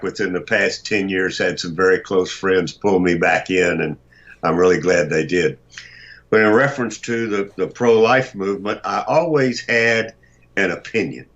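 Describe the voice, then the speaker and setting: An older man with a very deep voice, a radio host chatting as a guest and joking about himself. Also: fairly bright tone, muffled recording. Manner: self-deprecating, joking about his height. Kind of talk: casual chat.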